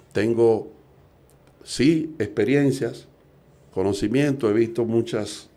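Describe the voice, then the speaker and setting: A man's voice: on the low side, dark tone. A middle-aged man, preaching earnestly and asking rhetorical questions. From Dominican Republic. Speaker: evangelist preacher. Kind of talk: preaching talk.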